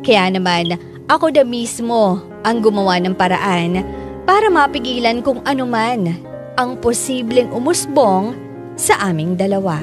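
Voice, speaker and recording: A woman's voice: on the high side, expressive, measured pace, over music. A Filipino woman playing a lovelorn, conflicted schoolteacher in a radio drama. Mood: reflective. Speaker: voice actor and narrator in a radio drama. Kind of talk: Filipino radio drama acting and first-person narration.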